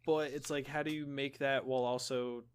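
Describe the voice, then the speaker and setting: A man's voice: medium pitch, level tone, a little fast, clear, resonant tone. A man co-hosting a podcast, chatting casually about games in an informal, rambling way. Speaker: podcast co-host.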